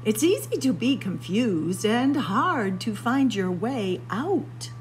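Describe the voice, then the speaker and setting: A woman reads a picture book aloud for young children at a very unhurried pace. Her delivery is sing-song and animated, stretching phrases and leaving dramatic pauses. There is faint noise behind her voice. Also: on the high side. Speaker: library story time host. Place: indoors among library bookshelves.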